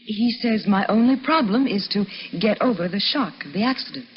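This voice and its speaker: Female actor for a sweet wife with hidden cunning, lively melodic delivery, muffled 1950s radio drama. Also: medium pitch, fairly quick, close to an everyday voice. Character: sweet wife feigning amnesia, secretly shrewd. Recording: muffled, hissy vintage radio recording.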